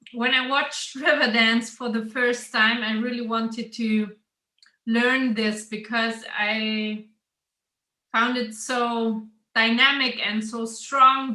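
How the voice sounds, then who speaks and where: A woman in her late thirties speaks in a slow, unhurried way over a video call. Her voice is bright and clear, and the room around her has a noticeable echo. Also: on the high side, flat delivery. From Germany. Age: 30s. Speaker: interview guest, dancer. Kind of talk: casual interview answers. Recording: roomy echo.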